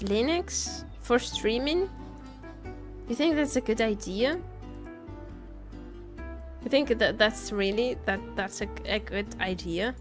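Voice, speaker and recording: Playful woman livestreaming over game music. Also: on the high side. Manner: playful, teasing, rambling, repeats phrases. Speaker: VTuber streamer. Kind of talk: casual livestream game chat and reactions. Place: indoor home streaming setup.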